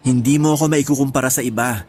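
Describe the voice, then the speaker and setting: A man's voice: medium pitch, fairly even intonation, quick pace, a little mellow, lightly acted. Man as a charming, teasing younger suitor, radio drama. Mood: joking. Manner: smooth, joking, flirtatious.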